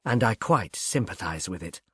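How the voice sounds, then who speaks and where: A man's voice: low voice, expressive, normal speed, fairly bright tone, slightly rough, no echo, voice pushed down, full character voice. A man voicing a society mother in a storybook, airy and gracious with an affected, genteel manner. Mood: grave.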